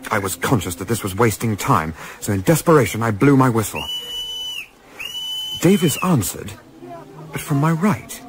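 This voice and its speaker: An actor playing an observant, composed gentleman adventurer in a radio play, speaking in a measured, articulate, understated way. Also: mid-range voice, lightly acted. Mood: tense recounting. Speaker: lead actor narrating and playing a part. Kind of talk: radio play narration and dialogue.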